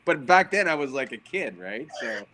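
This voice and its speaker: A man chatting on a group video call, telling stories in an animated way and laughing as he talks. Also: fairly high pitch, moderate pace, a loud crowd, rough recording. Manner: animated storyteller, laughs while talking. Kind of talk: casual group chat on a call.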